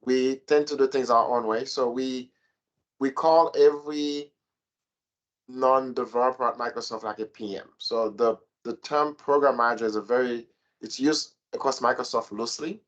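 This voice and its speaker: A man's voice: mid-range voice, steady tone, normal speed, dull audio. A man who works as a program manager, giving a structured, step-by-step explanation on a panel over a video call.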